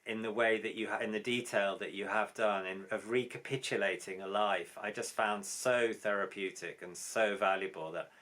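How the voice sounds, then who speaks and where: A man's voice: lowish voice, fairly even intonation, moderate pace, hardly any pauses, a bit bright. A man who works as an editor and translator, talking reflectively and fluently in a conversation about a memoir. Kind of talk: conversational interview.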